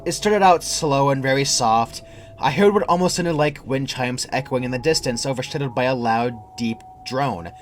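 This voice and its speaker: A man's voice: mid-range voice, normal speed, slight background noise. A young adult man reading a scripted first-person creepypasta as its narrator. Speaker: horror story narrator.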